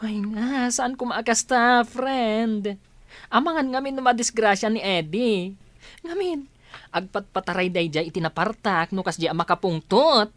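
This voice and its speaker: A woman plays a worried Ilocano friend in a radio drama. Her voice sits fairly high, fretting and scolding with open concern. The recording is close and dry. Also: unhurried, dry recording, natural voice. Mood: alarmed, scolding.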